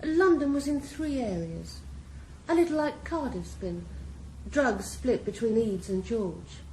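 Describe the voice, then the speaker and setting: A cold, composed crime boss. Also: female voice, fairly high pitch, lively intonation, unhurried, mellow. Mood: cool. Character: cool ruthless female drug boss. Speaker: criminal mastermind. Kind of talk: radio drama acting. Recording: roomy echo, faint background hiss.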